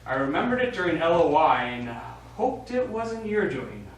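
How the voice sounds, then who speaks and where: A man's voice: fairly high pitch, big pitch swings, normal speed. A man on stage playing a blunt space mission commander who frankly questions a member of his crew. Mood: probing, wary. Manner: frank, probing. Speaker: stage actor in a short play. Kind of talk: live stage play dialogue. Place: small theater studio, recorded from audience distance. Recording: echoey hall, distant mic, faint noise.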